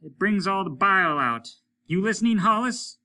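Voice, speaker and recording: A man's voice: high voice, expressive, moderate pace, pushed and bright, pitched above the performer's own voice, exaggerated voice. A man reading an audiobook, voicing a blunt, embittered, repentant aging male astronaut who speaks emphatically, as if confessing. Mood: ashamed, bitter. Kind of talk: dramatic audiobook character reading.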